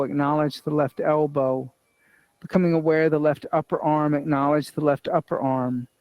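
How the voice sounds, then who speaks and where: A man with a slightly high voice guides a meditation as a yoga nidra teacher. His phrasing is measured and repetitive, like step-by-step instruction. The sound is very dry, with no room echo. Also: a little mellow.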